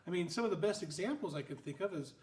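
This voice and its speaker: An animated, joking interview host trades questions with a guest, words tumbling over restarts. The voice sits mid-range and rings in a roomy, echoey space. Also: mid-range voice, quick pace. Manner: animated, jokey, stumbling restarts. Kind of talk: casual interview chat.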